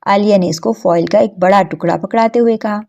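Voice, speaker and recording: A woman's voice: mid-range voice, gravelly, darkish tone, muffled recording. A woman storyteller reading scripted narration of a story for children.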